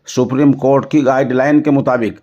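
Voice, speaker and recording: A man delivers news and commentary in a formal register. His voice sits at an average pitch for a man, and he speaks earnestly and deliberately. The recording is somewhat dull and low in fidelity. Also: fairly even intonation, mellow. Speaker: news presenter.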